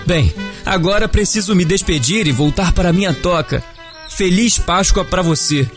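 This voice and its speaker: An actor with a Brazilian accent playing a friendly, caring Easter bunny who explains things patiently in a children's audio drama. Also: male voice, sits high, animated, moderate pace, soft background music. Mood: warm farewell. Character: friendly, caring male Easter bunny, gentle and civic-minded.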